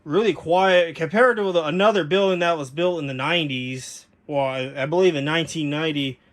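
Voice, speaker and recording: Higher-voiced man, rambling show-and-tell. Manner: rambling, halting, detail-focused. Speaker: hobbyist presenter showing his speaker collection. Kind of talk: casual unscripted show-and-tell.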